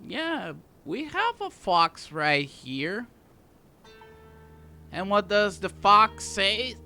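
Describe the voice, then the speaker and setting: Gaming host: man, bright forceful voice, slightly high, unhurried chatty commentary over soft music. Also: sing-song. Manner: chatty, playful asides, echoes the dialogue. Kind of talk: casual game playthrough commentary.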